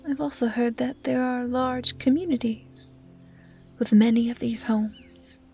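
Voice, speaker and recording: A woman voicing a gentle, curious female dryad who guards the woods, speaking softly and often in a whisper in a roleplay audio drama. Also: fairly high pitch, fairly even intonation, gravelly, breathy edge, soft background music, full character voice. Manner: soft, often whispery, naive and measured. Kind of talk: roleplay audio drama, soft intimate acting.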